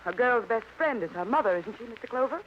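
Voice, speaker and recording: A woman's voice: a little high, expressive, fast, lightly acted. Arch, mocking middle-aged wife, acted by a woman. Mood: sardonic. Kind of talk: 1950s American radio crime drama acting. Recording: dull old radio recording, faint noise.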